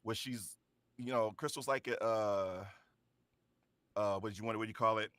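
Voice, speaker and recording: A man's voice: on the low side, fairly even intonation, moderate pace, fairly bright tone, no echo. A man chatting casually as a voice actor on an after-show panel, laughing often and stumbling over his words.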